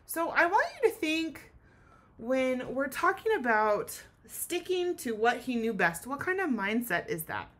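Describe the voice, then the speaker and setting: A woman's voice: high voice, sing-song, unhurried, a bit bright. A woman teacher giving a children's read-aloud lesson, speaking expressively and explaining things in simple terms.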